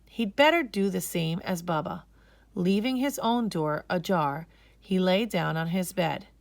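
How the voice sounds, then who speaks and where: A woman narrating a children's story aloud in an expressive, measured way. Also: lowish voice, gravelly, dry recording.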